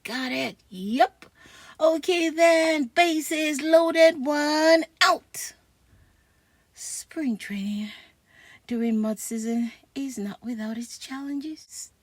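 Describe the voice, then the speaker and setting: A woman's voice: sits high, drawn-out words, no room sound. A woman reading a comic book aloud expressively for children. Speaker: storybook reader.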